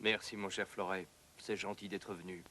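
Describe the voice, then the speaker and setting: A male actor playing a modest, caring physician in a dubbed educational cartoon, speaking in a measured, kindly way. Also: deep voice, flat delivery, loud music behind the voice, natural voice. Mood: grateful.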